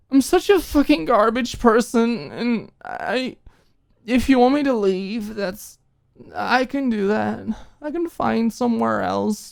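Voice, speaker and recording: A woman's voice: on the high side, gravelly, voice pushed up, heavily acted. A woman voicing a drunk, guilt-ridden young woman in an audio drama, crying and stammering in a trembling, pleading voice. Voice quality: trembling, faltering voice.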